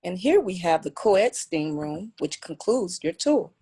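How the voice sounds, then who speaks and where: A woman's voice: fairly low pitch, very animated, unhurried, dark tone, breathy edge, exaggerated voice. A woman playing an earnest gym trainer with a salesy tour-guide patter in a script read.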